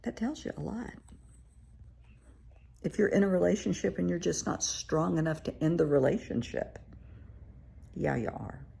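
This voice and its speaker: Woman therapist, raspy and croaky from a cold, dark husky tone, frank and encouraging. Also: fairly low pitch, lively intonation, normal speed, pauses often. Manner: frank, encouraging, speaks straight to the viewer. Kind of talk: casual direct-to-camera advice talk. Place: her living room.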